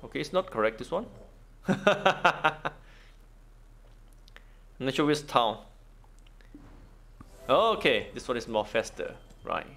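A man giving casual game walkthrough commentary, thinking aloud in halting, non-native English. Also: mid-range voice, sing-song, gravelly, sharp tone. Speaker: gaming video host.

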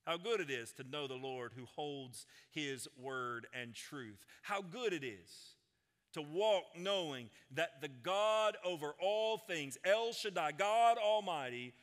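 A man in his forties preaches from a large church stage on a headset mic, his voice high-pitched and bright. He swings from loud, ringing declarations to quiet, intense stretches. The sanctuary's long reverb trails every phrase. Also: lively intonation, takes it slowly. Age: 40s. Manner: preaching with wide gestures, swinging from loud proclamation to hushed intensity. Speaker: senior pastor. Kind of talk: sermon.

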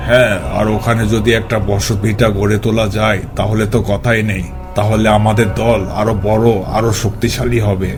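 A man's voice: on the low side, level tone, normal speed, over loud music, natural voice. A man voicing a greedy, scheming bandit raider in a cartoon. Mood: restless, plotting. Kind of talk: Bengali cartoon dubbing.

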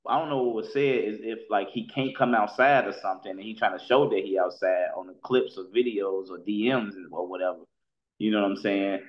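A man's voice: lowish voice, fairly even intonation, a little fast, roomy sound. A man, sounding middle-aged, giving casual street commentary in slang, repeating himself and often checking that the listener follows. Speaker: commentator on street and rap news. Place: indoor room, video call. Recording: dull, muffled call audio.

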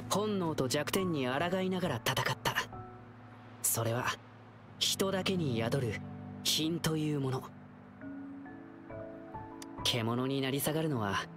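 An actor voicing a calm, wise young guardian who lectures gently in a game cutscene. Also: male voice, a little high, natural voice. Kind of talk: Japanese game voice acting.

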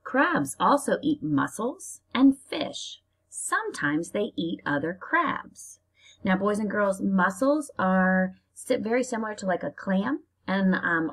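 An American woman teacher reading aloud to young children and explaining as she goes, in a deliberate, expressive manner. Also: mid-range voice, vocal fry, breathy edge. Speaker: elementary school teacher reading aloud to pupils.

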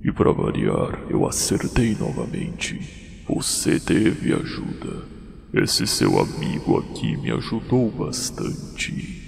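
A man plays an arrogant giant jellyfish from a horror game, Brazilian-accented and irritable. The voice is gravelly and highly dramatic, with long reverb and clear noise around it. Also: wildly swooping pitch, takes it slowly, darkish tone, exaggerated voice. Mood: smug, sinister.

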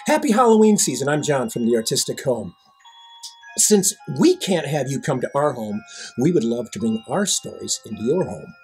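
Casting: middle-aged man, mid-pitched, informal host introduction over faint music. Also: very animated, normal speed, darkish tone. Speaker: theater ensemble member, host. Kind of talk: casual spoken introduction.

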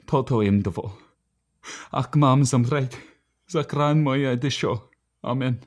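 A man's voice: mid-range voice, measured pace, lightly acted. An actor playing an anguished, protective immigrant husband and father in a radio drama, earnest and imploring. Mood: prayerful, sorrowful.

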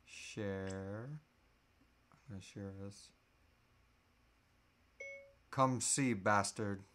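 A man's voice: fairly low pitch, extremely slow, sharp tone, slight background noise. Informal man hosting a live chat. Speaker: podcast host. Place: small indoor room at home.

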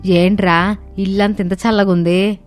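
A woman's voice: mid-range voice, flat delivery, unhurried, faint music behind, close to an everyday voice. A woman voicing a grateful older mother-in-law in a cartoon story, speaking affectionately. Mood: fond.

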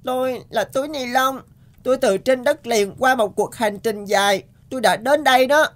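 A woman's voice: fairly high pitch, normal speed, close to an everyday voice. A woman voicing a naive, proud and anxious plastic bag in a children's cartoon, exclamatory and plaintive. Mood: uncertain. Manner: exclamatory, plaintive, wide-eyed. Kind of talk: animated children's cartoon acting.

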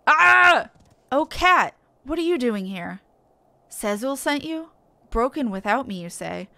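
A woman's voice: sits high, very animated, takes it slowly, creaky, bright, forceful tone, exaggerated voice. A woman voicing a polite, earnest young male heir with a courteous, well-spoken manner. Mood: surprised.

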